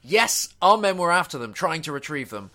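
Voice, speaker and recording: A man's voice: on the high side, big pitch swings, normal speed, pushed and bright. A man acting as a flustered military commander organizing an evacuation in an audio drama. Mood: urgent, reporting.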